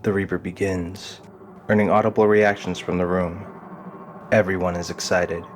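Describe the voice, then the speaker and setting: A man's voice: deep voice, flat delivery, normal speed, a little hiss or hum. A man reading scripted dramatic narration as the narrator of an audio drama. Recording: music behind the voice.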